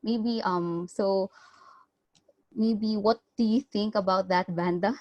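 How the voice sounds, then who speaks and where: A woman from the Philippines speaking on a webinar panel over a video call, halting with many fillers. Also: mid-range voice, steady tone, no echo, muffled recording. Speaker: student panelist.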